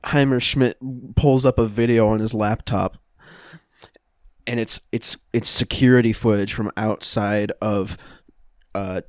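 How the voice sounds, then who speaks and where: A man's voice: lowish voice, steady tone, unhurried, dry recording, clean studio sound. A man improvising scene narration for a comedy podcast, casual and feeling his way through the story.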